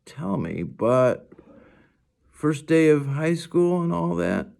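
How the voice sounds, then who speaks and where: A man's voice: fairly high pitch, big pitch swings, slow, creaky, darkish tone, voice pushed up, heavily acted. A man voicing a shy, caring mother with a mild, tentative manner in a storybook.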